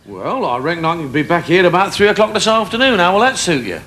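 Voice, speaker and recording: A man's voice: high-pitched, very animated, quick pace, a bit bright. A man playing a chatty, easygoing working-class English van driver in a British TV sitcom. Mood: chatty, obliging. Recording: noisy old TV sitcom recording.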